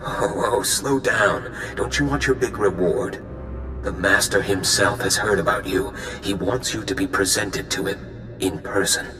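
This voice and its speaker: An actor playing a gruff, blunt gang lieutenant recruiting for a criminal crew in a video game. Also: male voice, on the low side, measured pace, sharp tone, in a large hall, faint music behind. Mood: pleased. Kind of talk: video game dialogue acting.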